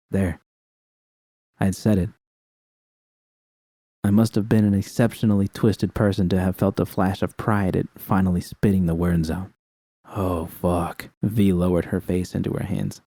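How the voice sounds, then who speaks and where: A man narrates a first-person story in an earnest, unhurried way. His voice is low and mellow, and the recording is dry and close. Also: flat delivery, no echo. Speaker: reader.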